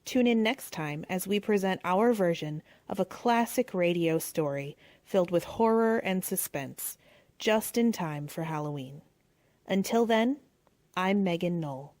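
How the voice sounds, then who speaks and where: A woman's voice: lowish voice, lively intonation, measured pace, a little mellow, no echo. A woman reading a scripted credits announcement as the announcer of a radio play.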